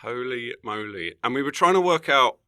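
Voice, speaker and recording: A man chatting casually as a comedian guest on a podcast, wry and bantering and quick to laugh. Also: mid-range voice, animated, bright, forceful tone, clear, resonant tone.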